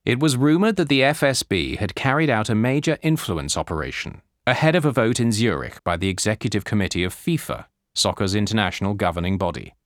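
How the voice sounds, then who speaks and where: A man reading a scripted nonfiction audiobook as narrator, with measured, deliberate phrasing. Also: fairly low pitch, no echo, clean studio sound.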